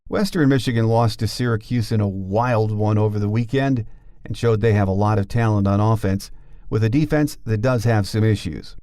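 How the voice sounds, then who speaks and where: A man's voice: fairly low pitch, moderate pace, mellow, dry recording, clean studio sound. A middle-aged man reading scripted sports podcast narration as the host.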